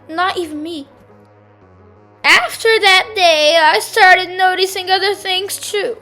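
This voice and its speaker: A woman voicing a sour, dramatic grape who tells its own story in an expressive children's read-aloud manner. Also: very high-pitched, heavily acted. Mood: calm, hopeful. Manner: exclamatory, theatrical, drawn-out delivery.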